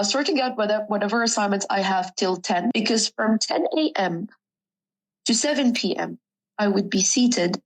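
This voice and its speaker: A young woman from Sudan, a native Arabic speaker, earnestly reflecting on her life in an interview, pausing with ums and correcting herself. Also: medium pitch, low-quality recording. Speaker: student interviewee. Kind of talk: personal interview.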